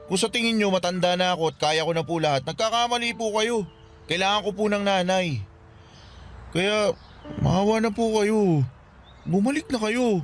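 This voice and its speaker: A man's voice: sits high, normal speed, long pauses, natural voice. A man playing a hurt teenage son, resentful and pleading, in a radio drama. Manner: pleading, resentful, polite to elders. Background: music.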